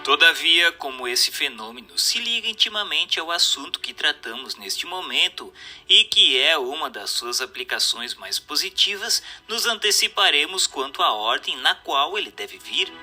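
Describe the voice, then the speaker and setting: Male narrator for formal expository book reading; slightly high pitch, animated intonation, music underneath. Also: normal speed, hardly any pauses. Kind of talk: scripted narration of a book chapter.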